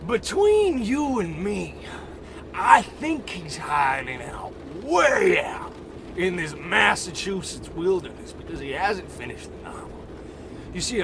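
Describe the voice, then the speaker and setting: A man's voice: a little high, noisy background. Man playing a swooping, blustery goon boss. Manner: wisecracking, blustery, folksy tough-guy phrasing. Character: blustering, cocky male hired goon boss. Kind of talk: old-time radio comedy acting.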